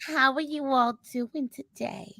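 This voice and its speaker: A woman's voice: high-pitched, fairly even intonation, a little slow, vocal fry, bright, forceful tone, slight background noise, full character voice. A woman voicing a brash, cocky fantasy comic character with a snarky, haughty, taunting manner in an improvised live chat.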